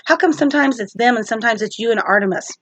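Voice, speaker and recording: A woman voicing a young boy in a storybook, an eager little brother with an excitable, questioning manner. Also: a little high, fast, no room sound. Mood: excited.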